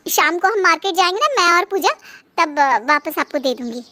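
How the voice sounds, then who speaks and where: A woman's voice: extremely high pitch, expressive, fairly quick, sharp tone, no echo, full character voice. A woman voicing an earnest, courteous young daughter-in-law in a cartoon moral story. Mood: cheerful polite.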